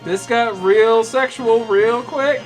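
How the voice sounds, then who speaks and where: A man chatting casually as he plays a game, excitable and rambling in an informal way. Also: extremely high pitch, slow, faint music behind. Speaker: co-host playing a video game. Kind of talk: casual banter over gameplay.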